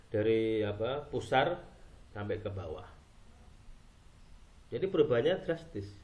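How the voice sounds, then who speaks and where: A man's voice: a little high, darkish tone, lo-fi sound. A man from Java, giving an informal explanatory talk. He asks rhetorical questions and answers them himself. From Java, Indonesia. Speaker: speaker on Islam and culture.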